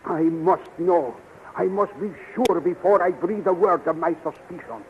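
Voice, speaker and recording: An anxious, authoritative military prince speaks formally and dramatically, in 1930s radio acting style. The recording is narrow and tinny, with faint noise behind him. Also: male voice, high voice, measured pace. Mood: troubled. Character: anxious, authoritative prince leading retreating soldiers.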